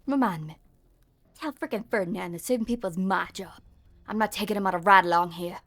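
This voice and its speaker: A curt police officer snaps with sarcasm in a mid-pitched voice full of gravelly fry, voiced by a woman. She sounds impatient and hard to move. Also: fairly quick, fairly bright tone. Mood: stern.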